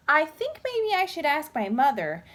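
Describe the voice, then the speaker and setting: Cautious small boy for a picture book, performed by a woman, high and swooping, wordy and unsure. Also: huge pitch swings, unhurried, a bit bright, full character voice. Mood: hesitant. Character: cautious, hesitant little boy, easily dismayed.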